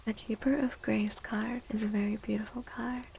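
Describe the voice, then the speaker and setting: A woman livestreamer speaking in a soft, breathy, often whispery ASMR style, gentle and soothing, close to the microphone. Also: a little high, little pitch movement, normal speed, slight background noise, poor audio. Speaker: livestreamer reading tarot for viewers.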